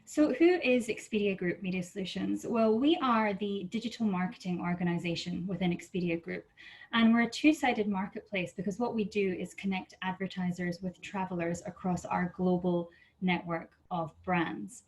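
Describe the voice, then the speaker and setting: A woman's voice: mid-range voice, normal speed, darkish tone. A woman with a light Scottish accent presenting over a video call in a friendly, businesslike way, with some ums. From Scotland. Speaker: media partnerships lead presenting. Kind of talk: webinar presentation.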